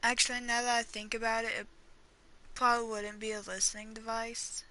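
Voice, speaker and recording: A woman's voice: a little high, flat delivery, fairly quick, pushed and bright. A woman giving casual gameplay commentary, thinking aloud in a hesitant, rambling way and trailing off mid-thought. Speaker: gamer reacting and theorizing during a playthrough.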